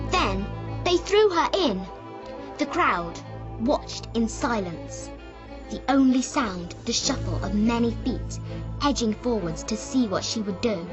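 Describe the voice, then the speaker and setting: A woman gives a dramatic, suspenseful fiction reading. Her voice is fairly high and very animated, paced slowly. Music plays behind her. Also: highs cut off. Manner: deliberate, suspenseful.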